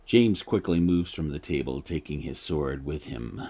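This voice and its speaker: A man's voice: very deep voice, lively intonation, normal speed, warm tone, husky. A man hosting and narrating a radio drama as a dramatic storyteller. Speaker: host and narrator. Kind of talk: scripted narration.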